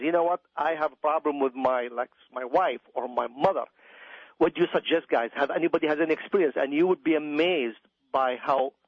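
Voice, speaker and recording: Husky Iraqi-accented man, higher-pitched voice. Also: no room sound. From Iraq. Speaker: doctor, interview guest. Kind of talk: conversational radio interview. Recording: dull, dry radio audio.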